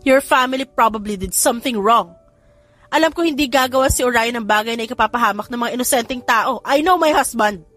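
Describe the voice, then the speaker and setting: A woman's voice: fairly high pitch, fairly quick, fairly bright tone, pitched above the performer's own voice. A woman voicing a frightened but defiant young kidnapped wife in an audiobook drama, with music behind. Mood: angry. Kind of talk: audiobook character dialogue.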